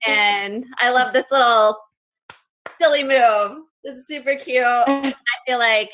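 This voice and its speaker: A woman's voice: a little high, slow pace, sharp tone, low-quality recording. A woman co-hosting a dating show, talking in a gushing, enthusiastic way over a video call.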